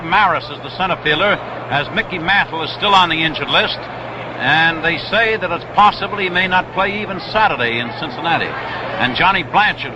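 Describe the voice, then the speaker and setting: A man calling baseball play-by-play on 1960s radio in a measured, explanatory way, repeating himself deliberately. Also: fairly high pitch. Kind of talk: live 1960s radio sports commentary. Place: outdoors at a baseball stadium. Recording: noisy vintage outdoor radio broadcast.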